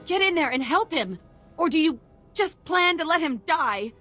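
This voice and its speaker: A woman's voice: high-pitched, lively intonation, normal speed, pushed and bright, soft background music. Anxious, deferential servant girl. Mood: distressed. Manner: apologetic, flustered, guarded. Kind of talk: video game voice acting.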